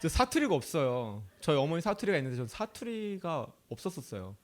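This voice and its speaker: A man preaching a sermon in a chatty, animated way. His voice sits fairly high for a man and swings widely up and down. He keeps asking the audience questions and laughs easily. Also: brisk. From Chungcheong, South Korea. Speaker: pastor.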